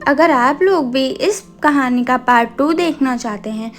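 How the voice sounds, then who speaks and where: A woman narrates a scripted cartoon story. Her voice is high and she takes it very slowly, line by line. Soft music plays faintly underneath.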